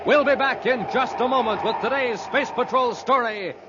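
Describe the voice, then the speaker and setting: A man's voice: very high-pitched, normal speed. A man with an American accent, announcing a 1950s radio adventure show in a dramatic style. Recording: noisy, dull 1950s radio recording.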